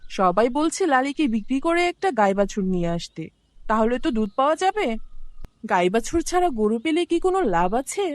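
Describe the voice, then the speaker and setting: A lively, rather high female voice from an actress plays a farmer's nagging wife in a cartoon tale. She scolds and mocks with impatience, her pitch jumping about. The recording is dry. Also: fairly quick, no echo. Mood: nagging, scornful. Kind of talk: acted animated fairy-tale dialogue.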